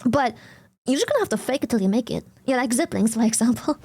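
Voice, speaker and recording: German-accented woman streamer, high voice, blunt and giggly, casual chat on a dry mic. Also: very animated, fairly quick, darkish tone, breathy edge, no room sound. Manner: laughs often, swears casually, blunt.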